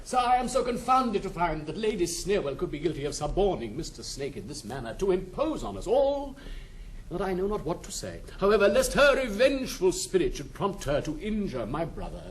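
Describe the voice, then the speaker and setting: An actor playing a pompous, sanctimonious hypocrite with a British accent in a 1960s classical stage comedy. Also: male voice, high voice, sing-song, fairly quick, a little hiss or hum. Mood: mock-shocked. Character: smooth, sanctimonious male hypocrite, pompous schemer.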